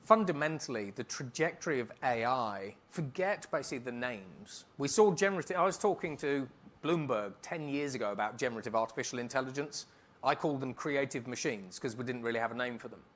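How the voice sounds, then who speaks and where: A man speaking as a keynote speaker, answering on stage in a conference hall in a brisk, emphatic way and rattling off lists. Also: mid-range voice, animated, a bit bright.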